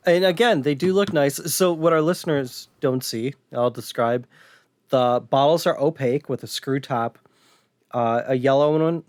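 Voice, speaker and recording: An American man chatting casually as a podcast host, somewhat halting when he describes things. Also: medium pitch, a little mellow, no room sound, clean studio sound. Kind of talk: casual podcast chat about retro gaming.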